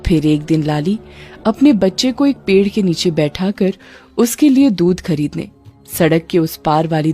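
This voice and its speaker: A woman's voice: lowish voice, mellow. A woman narrating a scripted story as a storyteller.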